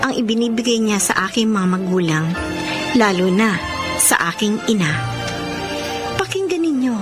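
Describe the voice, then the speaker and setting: A woman's voice: fairly high pitch, expressive, normal speed, close to an everyday voice. An actress playing an earnest, defiant fifteen-year-old girl in a Filipino melodrama, plaintive, with a Filipino accent. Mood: sorrowful, reflective. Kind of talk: Filipino radio-style melodrama acting and narration. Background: prominent music.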